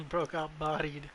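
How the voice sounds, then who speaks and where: A woman's voice: low voice, background noise. A woman doing casual live reaction commentary on a game, tossing out blunt, sardonic quips.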